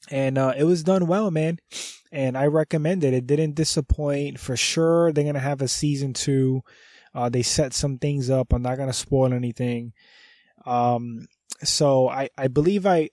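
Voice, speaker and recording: A man hosting his podcast, congested from a slight cold, talking casually and enthusiastically with frequent swearing and throat clearing. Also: medium pitch, warm tone, no room sound, clean studio sound. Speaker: podcast host. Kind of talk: casual review monologue.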